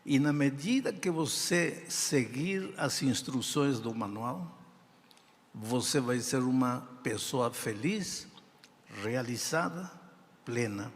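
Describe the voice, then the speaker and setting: An older man with a non-native accent in Portuguese, preaching a sermon in slow, deliberate phrases with emphatic pauses. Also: medium pitch, expressive. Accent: non-native speaker of Portuguese. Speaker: pastor. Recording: reverberant hall sound.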